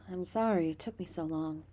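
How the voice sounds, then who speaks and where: An actress playing a gentle, protective caretaker in an audio drama, soft, breathy and tender. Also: female voice, lowish voice.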